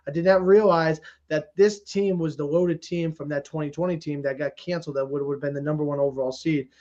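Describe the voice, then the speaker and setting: A high-voiced man races through casual sports talk as a podcast co-host. He is emphatic and exclamatory, often repeating himself to drive a point home. The sound is slightly dull and lo-fi. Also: steady tone, a little fast, a little mellow.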